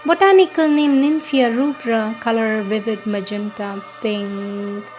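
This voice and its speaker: A woman reading a devotional script in a measured, solemn manner. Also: on the high side, fairly even intonation, a little mellow, faint music behind. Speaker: reader.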